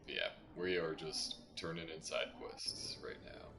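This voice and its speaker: A man's voice: rumbling low, fairly even intonation, a little slow. A man casually commentating live gameplay as a streamer, playful and thinking aloud. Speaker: game streamer. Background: video game music.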